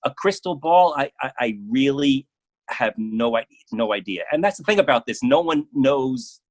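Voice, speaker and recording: Middle-aged man, halting and conversational. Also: mid-range voice, expressive. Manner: halting, repeats words as he thinks aloud. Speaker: interview guest, playwright and proofreader. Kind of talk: casual conversational interview.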